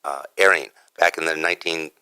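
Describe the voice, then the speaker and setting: A man's voice: rumbling low, steady tone, fairly quick, bright, forceful tone, clean tone, dry recording. A man speaking casually as a radio DJ and interview guest, emphatic and repeating himself for stress. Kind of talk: casual chat.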